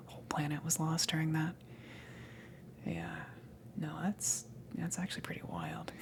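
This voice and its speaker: A kind, slightly awkward female alien from a salvage crew, played by a woman, speaking in a low-pitched whisper for an ASMR roleplay. The voice is creaky and breathy, and the delivery is hesitant, rambling and reassuring. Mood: explaining, bemused. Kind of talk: ASMR roleplay, improvised-sounding soft talk.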